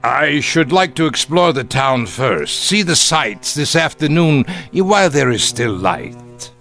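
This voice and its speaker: A man's voice: fairly high pitch, animated, takes it slowly, a bit bright, faint music behind, full character voice. An actor playing a smug, evasive aging German man who poses as an innocent tourist, genteel and hesitant, in radio drama style. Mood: coy, probing. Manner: affectedly genteel, hesitant, coy. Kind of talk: old-time radio drama acting.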